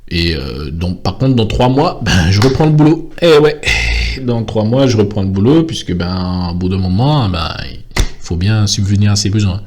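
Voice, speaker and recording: Deep-voiced man rambling with many fillers. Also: animated, normal speed, darkish tone, a little hiss or hum. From French Guiana. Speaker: vlogger. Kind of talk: casual monologue to camera.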